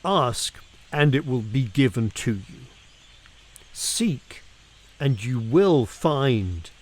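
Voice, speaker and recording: A man reads scripture aloud in a measured, deliberate way, using pauses and emphasis for dramatic effect. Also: medium pitch, expressive. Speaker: reader of scripture.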